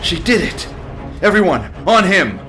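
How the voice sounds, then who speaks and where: Animated young team leader, acted by a man. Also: high-pitched, unhurried, over music. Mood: urgent. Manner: urgent, barking orders. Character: forceful teenage boy team leader, determined superhero.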